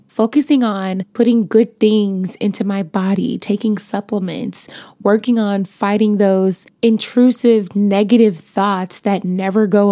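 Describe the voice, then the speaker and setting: A young adult woman hosting her podcast, chatty and playful, her voice raspy as it recovers from being lost, with features. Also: mid-range voice, warm tone, dry recording, clean studio sound. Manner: chatty, playful, sprinkles in laughs and slang. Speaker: podcast host. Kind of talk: casual motivational podcast talk.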